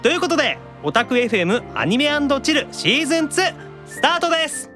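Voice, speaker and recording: A man hosting a radio show in upbeat, animated chat with frequent agreeing interjections. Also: extremely high pitch, quick pace, soft background music.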